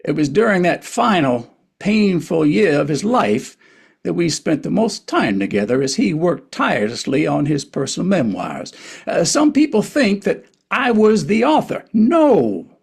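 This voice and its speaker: A man's voice: a little high, sing-song, measured pace, gravelly, breathy edge, heavily acted. A man playing a wry, reflective older male writer and narrator in a table reading of a historical play, with a hesitant storytelling manner.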